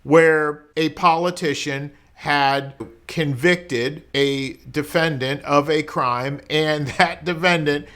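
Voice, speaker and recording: Guy lawyer explaining stuff casually, kinda higher pitched voice, conversational, asks rhetorical questions. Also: steady tone, takes it slowly, a bit bright. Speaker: criminal defense lawyer explaining law. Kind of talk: informal explainer talk to camera.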